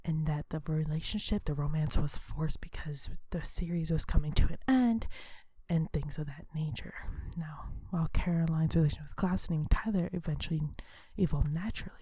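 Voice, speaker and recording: A woman hosting an ASMR video in a soft, breathy whisper, talking in a hushed, unhurried, conversational way. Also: deep voice, dry recording.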